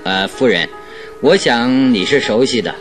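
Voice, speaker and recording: An actor playing a shrewd, self-assured gentleman detective in a radio drama, with a theatrical manner. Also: mid-range voice, lively intonation, unhurried, over music, lightly acted. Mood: courteous. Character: shrewd, jovial gentleman detective, calm and in control. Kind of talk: radio drama acting in Mandarin.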